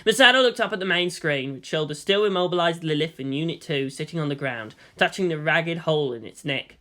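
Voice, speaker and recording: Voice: medium pitch, a little fast, a bit bright. A narrator reading fiction aloud in a scripted storytelling style. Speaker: audiobook-style fan fiction reader.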